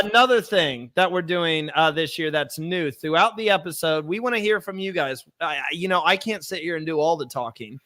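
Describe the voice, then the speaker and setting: A young man hosting a live podcast, chatty and upbeat, his voice hoarse as it recovers from being sick. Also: sits high, pushed and bright. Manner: chatty, upbeat, reads viewer comments aloud. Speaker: podcast host.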